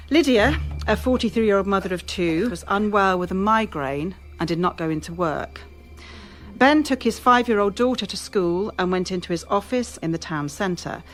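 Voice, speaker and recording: An actress playing a brisk, authoritative police officer in a radio drama, speaking in a measured, official manner. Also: female voice, medium pitch, natural voice. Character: brisk, authoritative female police officer leading a case briefing. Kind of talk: formal police briefing, radio drama acting.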